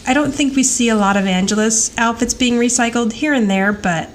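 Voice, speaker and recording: A woman's voice: fairly high pitch, brisk. Chatty American woman with noisy background. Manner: chatty, giggly, retells scenes with quoted dialogue. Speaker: podcast co-host. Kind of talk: casual TV rewatch podcast chat.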